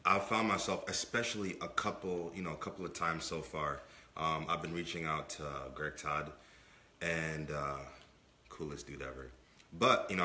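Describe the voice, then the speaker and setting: A man's voice: low voice, bright, forceful tone. A man in his twenties co-hosting a casual podcast, emphatic and repeating himself, his voice a bit under the weather. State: under the weather, voice not fully clear. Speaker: podcast co-host. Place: indoor room with brick and wood-panel wall. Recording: echoey room.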